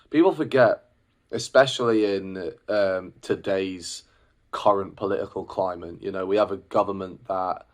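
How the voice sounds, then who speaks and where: A young man in his twenties with a deep, low voice talks to camera in a casual, personal way. He rambles a little, pausing on fillers and restarting his sentences as he works through his thoughts. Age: 20s. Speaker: singer and vlogger.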